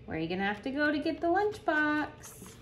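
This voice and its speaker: Woman, higher-pitched voice, children's story read-aloud, deliberate teacherly delivery, roomy echo. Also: big pitch swings, a bit bright. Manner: deliberate storytelling with questions to young listeners. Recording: roomy echo with clear background noise.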